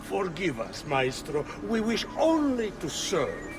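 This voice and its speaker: A man's voice: high-pitched, huge pitch swings, takes it slowly, music in the background, exaggerated voice. A man playing an urgent, obsequious noble conspirator with an Italian accent in a game. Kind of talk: cinematic game acting.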